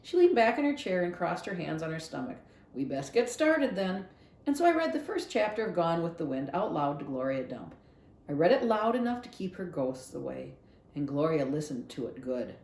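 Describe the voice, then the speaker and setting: Mellow, creaky woman reading a story aloud. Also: deep voice, sing-song, a little fast, roomy sound. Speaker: library read-along reader. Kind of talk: expressive storybook narration.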